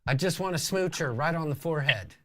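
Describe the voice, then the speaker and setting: A man's voice: on the high side, fast talker, noisy background. A man in his fifties hosting a casual live show, reading out viewer comments and bantering in a teasing way. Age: 50s. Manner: animated, teasing.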